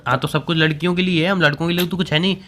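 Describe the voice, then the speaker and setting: A young man in his twenties bickering jokingly with his girlfriend, playfully exasperated and quick to argue. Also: on the high side, steady tone, fairly bright tone, slight background noise. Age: 20s. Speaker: boyfriend in a comic prank chat. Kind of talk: casual joking argument.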